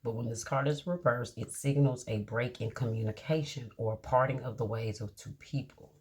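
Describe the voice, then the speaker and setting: A woman giving a casual tarot reading to her viewers, reading card meanings aloud slowly and checking in with a reassuring "okay?". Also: a bass voice, steady tone, slightly rough. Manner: drawn-out, reassuring, many "okay?" check-ins. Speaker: tarot reader.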